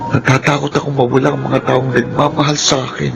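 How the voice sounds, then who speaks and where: A sentimental young nephew, creaky voice. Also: male voice, mid-range voice, level tone, a little fast, music in the background. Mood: fearful, heartfelt. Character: sentimental young orphaned nephew, tearful and grateful. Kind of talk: Filipino radio drama acting and first-person narration.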